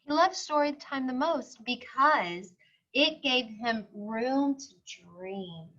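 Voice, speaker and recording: A woman reading a storybook aloud to young children, expressive and singsong, pausing to explain and ask questions. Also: high voice, highs cut off.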